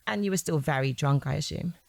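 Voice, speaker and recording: An actress playing a shrewd, composed police detective who questions a suspect in a controlled, insistent way in an audio drama. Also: female voice, low voice, fast, vocal fry, a little mellow, dry recording. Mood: falsely sympathetic.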